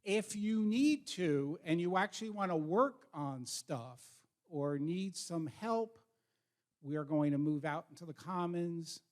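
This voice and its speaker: A man's voice: on the high side, expressive, measured pace, darkish tone. A man presenting as a school counselor, informal but direct and instructive as he addresses students and parents. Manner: direct, instructive, questions the audience. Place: school meeting with an audience.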